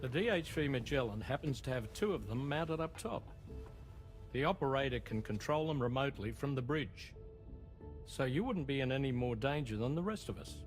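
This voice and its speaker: A reassuring male crewman played by a man in a game cutscene. He explains plainly at a middle pitch, with light music underneath. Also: lively intonation, moderate pace, lightly acted. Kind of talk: video game cutscene acting.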